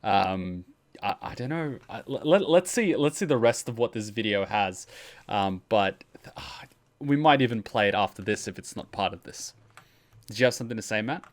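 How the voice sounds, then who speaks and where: A man's voice: on the low side, expressive, normal speed. A man hosting a reaction stream, chatting casually and enthusiastically with casual swearing. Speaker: host of a reaction livestream. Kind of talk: casual commentary and chat.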